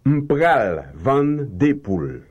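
A man reading slow, carefully enunciated translations in a language drill. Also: mid-range voice, very animated, darkish tone, breathy edge. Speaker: language teacher.

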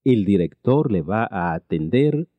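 A man teaching a language lesson, reading each phrase slowly and repeating it twice. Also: lowish voice, very animated, warm tone, no echo. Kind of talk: slow repeated translation drills.

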